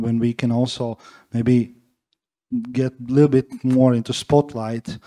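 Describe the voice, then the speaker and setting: A man moderates a panel in a large hall, speaking into a handheld mic. His voice is mellow and dark, and he talks haltingly, full of fillers and restarts. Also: mid-range voice. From Latvia. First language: Livonian. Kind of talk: panel discussion.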